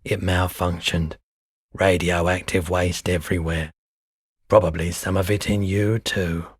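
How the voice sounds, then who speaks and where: A husky, deep-voiced, laid-back pawnbroker. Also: male voice, level tone, no echo, studio quality. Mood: weary, matter-of-fact. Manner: unhurried, low-key, deliberate. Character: laid-back, world-weary male pawnshop owner, soft-spoken and wistful. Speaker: video game voice actor. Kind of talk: video game character dialogue.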